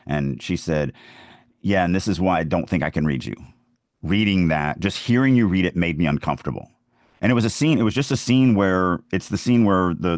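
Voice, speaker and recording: A man's voice: deep voice, dry recording, studio quality. A man hosting a podcast, giving writing advice in an informal, opinionated, conversational way with anecdotes. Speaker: podcast host, fantasy author and writing teacher.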